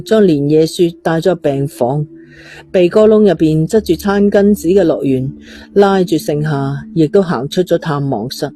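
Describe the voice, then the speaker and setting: A woman's voice: on the low side, mellow. A woman with a Cantonese accent reading a suspense novel as a scripted, dramatic storyteller. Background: faint music.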